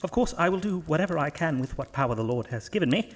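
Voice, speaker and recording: A man's voice: a little high, animated, fast, slight background noise, close to an everyday voice. A man playing a devout, hesitant priest in a horror audio drama, polite and formal with a slightly pompous turn of phrase. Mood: uneasy, doubtful.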